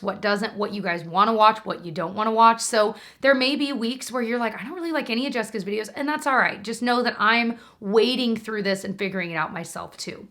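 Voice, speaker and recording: A woman's voice: fairly high pitch, pushed and bright. A young American woman chatting casually and informally to her viewers as a beauty and lifestyle YouTuber.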